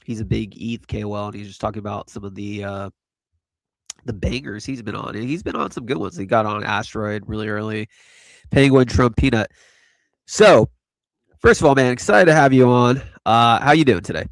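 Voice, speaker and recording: A man's voice: on the low side, moderate pace, no room sound, low-quality recording. A man hosting a casual live crypto chat, rambling and laid-back with lots of fillers, stuffy from allergies. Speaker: host.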